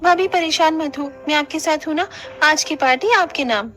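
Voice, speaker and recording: A woman's voice: sits high, moderate pace, fairly bright tone. A woman playing a kind, loyal young sister-in-law in a family cartoon, speaking reassuringly. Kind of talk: Hindi cartoon family drama dialogue.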